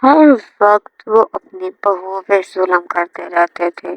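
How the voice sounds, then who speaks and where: A woman's voice: mid-range voice, expressive, takes it slowly, no room sound. A woman reading a scripted story as its narrator, with music playing behind her. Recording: dull lo-fi audio, music behind. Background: background music.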